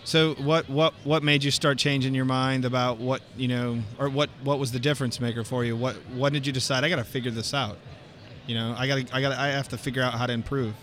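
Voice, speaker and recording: A man's voice: medium pitch, little pitch movement, quick pace, fairly bright tone. A man hosting a podcast interview, talking casually and loosely, with frequent restarts. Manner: friendly, loose, many restarts. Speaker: podcast host.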